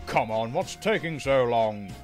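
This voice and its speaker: A man's voice: a little high, big pitch swings, moderate pace, soft background music. A man voicing a vain, grumbling big steam engine in a children's storybook, blunt and indignant. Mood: impatient. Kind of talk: children's railway storybook character voice.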